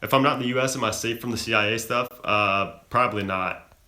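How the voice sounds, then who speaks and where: A man's voice: lowish voice, fast, bright, forceful tone, roomy sound. A young man from the American South, hosting a live stream and reading viewer questions aloud before answering them offhand. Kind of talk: casual live Q&A chat.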